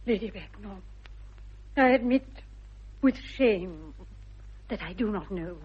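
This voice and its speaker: An actress playing a prim, easily flustered middle-aged governess with an English accent, speaking haltingly and precisely in a radio comedy. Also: female voice, mid-range voice, lively intonation, breathy edge, full character voice. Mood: ashamed, confessing. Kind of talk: 1960s British radio comedy acting. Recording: dull vintage radio recording.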